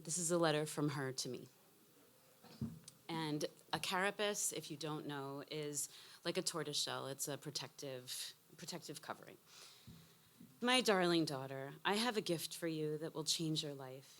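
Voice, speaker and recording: A woman in her fifties reading a personal essay aloud with emotional, emphatic phrasing. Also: low-pitched. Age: 50s. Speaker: essayist reading own work.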